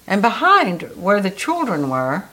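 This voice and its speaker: A woman guiding a meditation in a soft, gentle, soothing way. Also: medium pitch, wildly swooping pitch, unhurried, rough recording. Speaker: meditation guide. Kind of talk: guided meditation.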